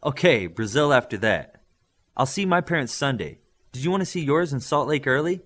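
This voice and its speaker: A man's voice: mid-range voice, lively intonation, normal speed, a bit bright, voice pushed up. A man voicing a cheerful, teasing teenage girl in an audiobook.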